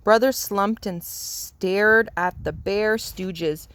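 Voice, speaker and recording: A woman's voice: medium pitch, drawn-out words, a bit bright. A woman reading a children's storybook aloud as narrator. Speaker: storybook reader.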